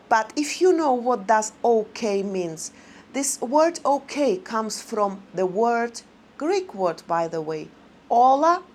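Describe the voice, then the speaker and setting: A woman vlogger chatting casually to her viewers in non-native English, rambling and halting with many fillers. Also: a little high.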